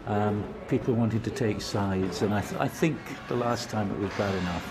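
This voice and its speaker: A man's voice: fairly low pitch, normal speed. An older man answering an interview question thoughtfully, with some hesitation. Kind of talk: street interview.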